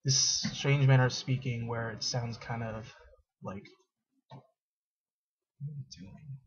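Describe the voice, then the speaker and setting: A man's voice: mid-range voice, lively intonation, measured pace, gravelly, husky, highs cut off. A young man in a dim dorm room, rambling casually to his webcam with lots of fillers and self-mocking asides. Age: young adult. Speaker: vlogger. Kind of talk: casual straight-to-camera vlog. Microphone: built-in webcam mic.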